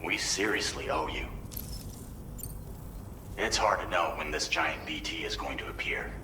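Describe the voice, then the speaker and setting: An actor playing an earnest support team member in a video game, giving a measured, businesslike briefing. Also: male voice. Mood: earnest, concerned. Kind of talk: game cutscene dialogue. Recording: roomy echo, faint low rumble.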